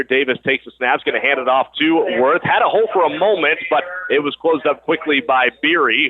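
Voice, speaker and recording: A man doing live radio play-by-play of high school sports in a conversational announcer's style, on a broadcast mic. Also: narrow, tinny audio. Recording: band-limited radio broadcast sound, gym or field noise.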